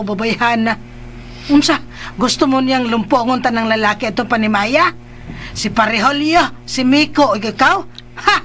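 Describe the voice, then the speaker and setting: A woman acting a caring older mother in a Filipino radio soap. Her voice sits fairly high and she probes patiently for the truth. There is faint noise on the recording. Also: a bit bright, natural voice. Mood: insistent, concerned. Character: caring, probing older mother figure, Nanay Luz.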